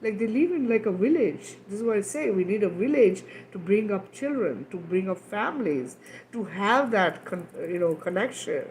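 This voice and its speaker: A woman's voice: medium pitch, lively intonation, normal speed, a little mellow, slight background noise, rough recording. A woman who grew up in Kenya, leading a livestream talk and guided healing in a loose, repetitive way, often checking in with "right?". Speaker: spiritual healer on a livestream.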